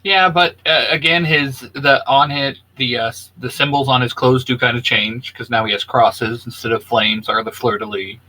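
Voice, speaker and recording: Bright, husky man chatting casually. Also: mid-range voice, steady tone, moderate pace, hardly any pauses, rough recording. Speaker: co-host of a geek culture show. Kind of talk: casual chat, reviewing video game designs.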